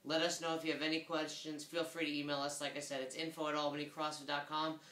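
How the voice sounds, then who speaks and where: A man in his thirties talks straight to a camera a few feet away, upbeat and casual, his hands moving the whole time. His voice sits a little high with a bright, forceful edge. The small office around him adds a clear echo. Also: flat delivery, fast talker. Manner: upbeat, gestures a lot with both hands. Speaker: gym owner giving weekly update. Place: small office room with desk and chairs. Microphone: fixed camera a few feet away.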